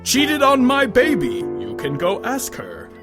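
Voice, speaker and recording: A man's voice: very high-pitched, wildly swooping pitch, a little slow, over music, voice pushed up, exaggerated voice. A man playing an over-the-top dramatic reader, reciting silly lyrics in an exaggerated, emphatic way. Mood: mock dramatic.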